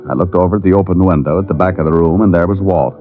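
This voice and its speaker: A muffled vintage radio recording with music behind it carries a man acting a hard-boiled private eye. He speaks low and laconic, with a wry edge, in classic noir style. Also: rapid-fire, lightly acted. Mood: terse narrating. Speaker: actor in radio detective drama. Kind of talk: 1940s-50s radio noir acting and narration.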